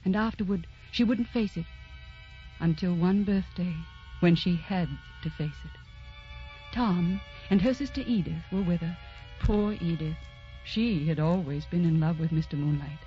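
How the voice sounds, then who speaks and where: An actress playing the spirit of romance, narrating an old radio drama in a lyrical, intimate, dramatic manner. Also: female voice, fairly low pitch, unhurried, natural voice. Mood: wistful, tender. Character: Romance personified, dreamy, tender female storyteller. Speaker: narrator and host. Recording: dull vintage radio recording with music.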